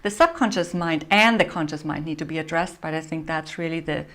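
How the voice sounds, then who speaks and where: A woman's voice: sits low, fairly even intonation, fairly quick, fairly bright tone. A woman coach speaking in an interview, explaining her method in an orderly, enthusiastic way. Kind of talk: conversational interview.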